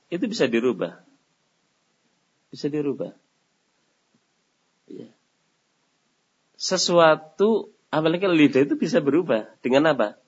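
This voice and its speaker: Husky-voiced man teaching in a lo-fi recording. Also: mid-range voice. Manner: asks rhetorical questions and lets the audience finish them. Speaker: Islamic teacher. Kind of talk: religious study session Q&A answer. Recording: dull lo-fi lecture recording.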